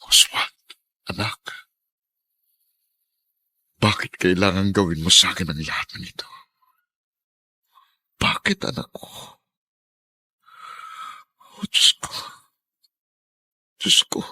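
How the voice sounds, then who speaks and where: An actor playing an anguished, meek middle-aged ex-prisoner father in a Filipino radio drama, with a Filipino accent and a halting, stammering delivery. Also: sits low, pushed and bright, breathy edge, full character voice. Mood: anguished, pleading.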